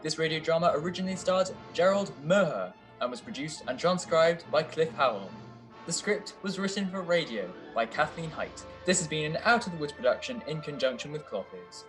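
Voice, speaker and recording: A man's voice: fairly high pitch, lively intonation, a little fast, a bit bright, faint music behind. A man reading a scripted closing announcement for a radio play.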